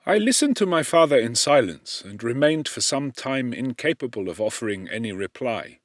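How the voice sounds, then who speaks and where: A man reading a classic novel as an audiobook narrator, in a measured, expressive storytelling manner with formal diction. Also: medium pitch, dry recording, clean studio sound. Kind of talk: dramatic literary audiobook narration.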